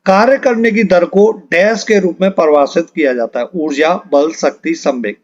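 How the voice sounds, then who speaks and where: A man's voice: fairly high pitch, moderate pace, dull audio. A man teaching an exam-preparation lesson step by step, explaining patiently and repeating key terms as he works through questions.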